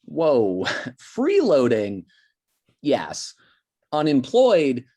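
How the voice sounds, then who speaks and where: Man playing a husky, animated slacker nephew. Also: mid-range voice, extremely slow, heavily acted. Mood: playful, clueless. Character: cluelessly ambitious freeloading 24-year-old nephew, laid-back slacker. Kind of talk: table read of a comedy pilot. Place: remote video call.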